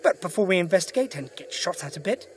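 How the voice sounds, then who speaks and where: An actor playing a sharp, principled alien adventurer in an audio drama, brisk and inquisitive. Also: male voice, high-pitched, expressive, slight background noise. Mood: thoughtful, brisk. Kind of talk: full-cast audio drama acting.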